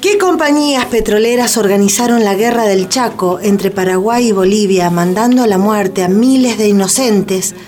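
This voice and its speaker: A woman's voice: medium pitch, normal speed, few pauses, darkish tone. A woman reading dramatic scripted questions as narration.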